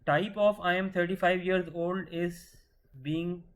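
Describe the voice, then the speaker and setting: A man's voice: high-pitched, steady tone, unhurried. A man teaching a programming lesson, patiently asking questions and answering them himself, often restarting words. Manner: restarts words mid-sentence. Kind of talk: screen-share coding lesson.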